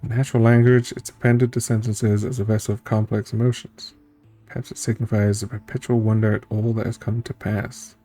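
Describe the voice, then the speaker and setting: Deep, mellow man reading over music. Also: little pitch movement, fairly quick. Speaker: streamer playing through a story game. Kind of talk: reading game text aloud with casual reactions. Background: game music.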